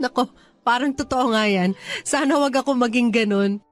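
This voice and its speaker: An actress as a loyal, grateful woman teacher in a radio drama. Her voice sits a little high, earnest and deferential, with music playing behind it. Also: measured pace, lightly acted. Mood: appreciative. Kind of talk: radio drama dialogue in Taglish.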